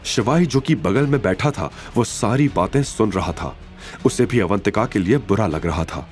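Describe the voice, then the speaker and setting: A man's voice: on the low side, animated, normal speed, darkish tone, soft background music. A man delivering scripted, dramatic narration for an audio story series.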